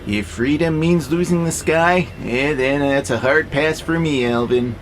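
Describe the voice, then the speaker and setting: A man's voice: on the high side, unhurried, slight background noise, natural voice. A man acting in an audio drama as a wry pacifist warehouse robot, speaking in a dry, skeptical, laid-back way. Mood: dry, unswayed. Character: wry, easygoing pacifist male warehouse robot who loves nature.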